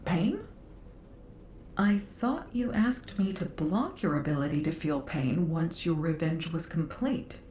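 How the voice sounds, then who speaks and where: A composed female magister in game dialogue, formal and polite. Her voice sits low, in a very echoey space with faint rumble behind it. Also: lively intonation, measured pace, dark tone. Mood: puzzled. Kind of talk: dramatic video game dialogue. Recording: roomy echo, faint rumbling noise.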